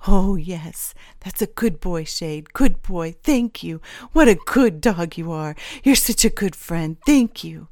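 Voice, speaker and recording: A woman voicing a dark young witch girl, fond of beasts, in a soft sibilant, breathy voice for an audiobook. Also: mid-range voice, measured pace, no room sound, clean studio sound, pitched above the performer's own voice. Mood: tender praise.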